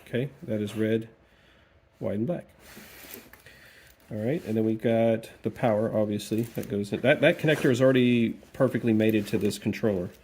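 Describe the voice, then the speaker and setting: A man's voice: fairly low pitch, normal speed, a little mellow, a little hiss or hum. A man hosting a casual hands-on repair walkthrough in a workshop, chatty and thinking aloud as he goes, with some playful repetition of words. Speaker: DIY video host. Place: workshop or garage, cold day.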